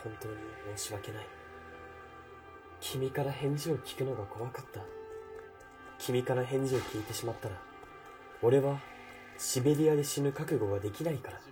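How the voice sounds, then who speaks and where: Earnest young soldier played by an actor over clear music, mid-pitched, wistful radio drama letter monologue to his lover. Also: moderate pace, long pauses, natural voice. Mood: wistful, remorseful. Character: doomed tender young soldier, remorseful lover. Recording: distant voice under prominent music.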